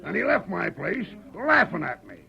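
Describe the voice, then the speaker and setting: On a muffled vintage radio Western, an aggrieved small-time American gambling boss. His voice is high and heavily gravelly. He speaks in a rustic, hot-tempered way. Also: sing-song, normal speed, faint music behind, full character voice. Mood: bitter, angry. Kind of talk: 1940s radio Western acting. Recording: dull old radio recording.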